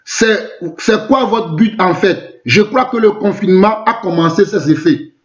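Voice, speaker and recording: A man's voice: high voice, unhurried. A middle-aged man from Togo with a Togolese French accent, preaching live online in an emphatic way, repeating phrases and asking rhetorical questions. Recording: roomy, echoing, muffled lo-fi sound.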